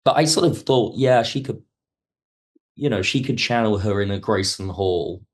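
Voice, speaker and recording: A man's voice: lowish voice, normal speed. A man talking casually as a podcast guest, a writer and director who is enthusiastic and reflective about his work. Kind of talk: casual interview chat.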